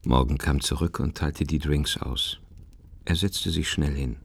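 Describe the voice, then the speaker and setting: Very deep, gravelly male narrator. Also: measured pace. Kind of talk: scripted literary narration for a radio play. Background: plucked guitar music.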